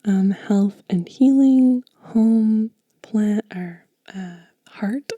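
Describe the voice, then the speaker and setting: A soft-spoken woman doing an ASMR show and tell, whispering gently and without hurry close to the microphone. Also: mid-range voice, no echo, dull audio. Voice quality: breathy, whispery, hushed. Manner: gentle, unhurried. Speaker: ASMR creator and host.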